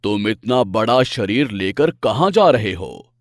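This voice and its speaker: A man's voice: fairly low pitch, lively intonation, measured pace, no echo, clean studio sound. A man voicing a boastful, bullying lion king in an animal fable, speaking in an imperious, threatening, questioning way.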